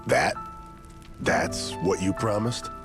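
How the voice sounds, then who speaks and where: A man playing a blunt, hot-tempered veteran Yakuza in a video game, gravelly and confrontational. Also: deep voice, slow pace, music in the background. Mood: stern, probing. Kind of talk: video game cutscene acting.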